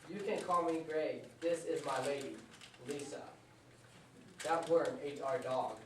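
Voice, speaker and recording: A man's voice: fairly high pitch, a little slow, natural voice. A man playing an earnest adventurer in an amateur puppet show, with a plain recited delivery. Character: Greg, earnest male adventurer hunting a worm. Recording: echoey room, distant mic, faint noise.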